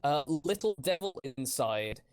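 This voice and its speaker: A man's voice: on the high side, big pitch swings, a little slow, smooth. A man co-hosting a podcast, casually presenting crowdfunding projects to the group. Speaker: podcast co-host.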